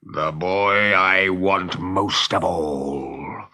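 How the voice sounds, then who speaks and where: A man voicing a villainous pirate captain in a children's storybook, growling in a drawn-out, theatrical, menacing way. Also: low voice, bright, forceful tone, voice pushed down, full character voice. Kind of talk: dramatised children's storybook reading.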